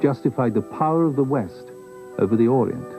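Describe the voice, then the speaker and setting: A man reading scripted documentary narration in a measured, formal manner. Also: medium pitch, expressive, music in the background, poor audio.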